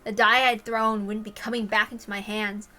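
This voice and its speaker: A woman's voice: medium pitch, level tone, normal speed, pushed and bright. A woman reading a story aloud expressively, with casual commentary of her own. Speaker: reader and commentator.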